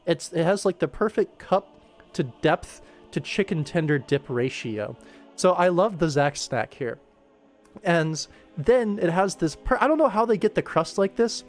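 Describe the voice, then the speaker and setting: Higher-pitched young American man, passionate rambling. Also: expressive, unhurried, faint music behind. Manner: enthusiastic, emphatic, tangent-prone. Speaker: livestream host. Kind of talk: casual livestream rant.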